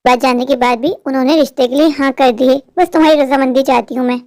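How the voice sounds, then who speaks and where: A woman's voice: sits high, steady tone, fast talker, a little mellow, no echo, studio quality. A woman playing a caring older aunty in a Hindi cartoon, with an earnest, motherly and persuasive manner. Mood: earnest persuading.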